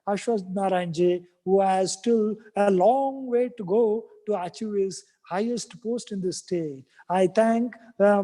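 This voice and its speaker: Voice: medium pitch, dark tone. Speaker with an Indian English accent, echoing hall. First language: Kannada. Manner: earnest, lists items in rhythmic series. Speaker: speaker at a university policy event. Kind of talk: formal prepared speech with thanks. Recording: long reverb of a large hall.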